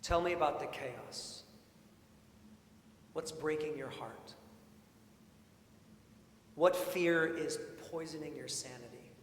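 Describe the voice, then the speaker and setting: A man preaching a sermon in an informal, expressive way, with storytelling and questions repeated for emphasis. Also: on the high side, slow, pauses often. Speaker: preacher, priest. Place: large indoor church sanctuary. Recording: echoey church hall, long reverb, faint noise.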